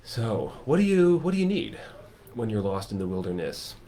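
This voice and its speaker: A man hosting a casual chat, enthusiastically pitching a course and piling up lists and vivid comparisons. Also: sing-song, normal speed, mellow. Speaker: music teacher and course host.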